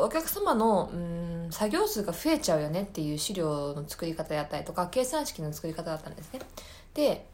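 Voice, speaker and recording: A woman's voice: mid-range voice, a little mellow. A woman hosting a podcast, talking casually about her own life in a hesitant, meandering, self-deprecating way. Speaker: podcast host.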